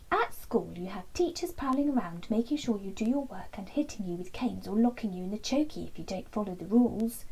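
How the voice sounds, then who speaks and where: A woman reading a children's bedtime story aloud in a lively, expressive way, dropping to soft whispers for asides. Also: fairly high pitch, slightly rough, poor audio.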